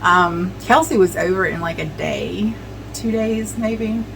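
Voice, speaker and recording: A woman's voice: fairly low pitch, unhurried, vocal fry, a little hiss or hum, poor audio. A middle-aged woman chatting casually at home while working, rambling with many ums and restarts. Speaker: vlogger. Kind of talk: casual chat while preserving herbs.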